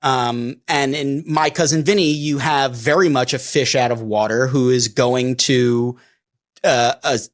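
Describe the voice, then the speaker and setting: A middle-aged man with a New York accent, chatting casually as a podcast co-host, pausing and restarting often. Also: mid-range voice, steady tone. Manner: halting, many restarts and fillers. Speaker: defense attorney co-host.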